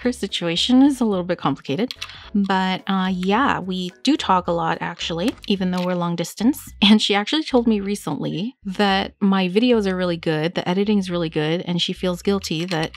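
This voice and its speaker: A woman's voice: lowish voice, normal speed. A young woman chatting casually as she answers viewer questions for her toy-collecting vlog, reading them aloud and laughing at herself. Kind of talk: casual Q&A chat.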